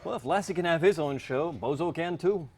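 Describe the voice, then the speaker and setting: A man's voice: fairly high pitch, fairly quick, a little mellow, slight background noise, lightly acted. A man playing an easygoing 1950s husband with a dry, joking manner in a staged play reading. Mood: wry, easygoing. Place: children's theatre stage.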